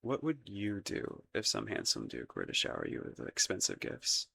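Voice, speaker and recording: A man's voice: lowish voice, wildly swooping pitch, moderate pace, gravelly, pushed and bright, breathy edge, exaggerated voice. A man acting a shy, lovestruck young crown prince in a romantic roleplay audio, hesitant and stammering, with formal courtly phrasing. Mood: coyly defensive.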